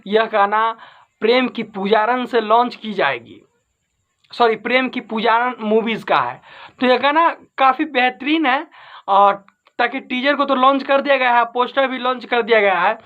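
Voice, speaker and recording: A man's voice: extremely high pitch, moderate pace, lo-fi sound. A man giving informal entertainment news commentary as an online host, repeating and rephrasing his points for emphasis. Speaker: YouTube host.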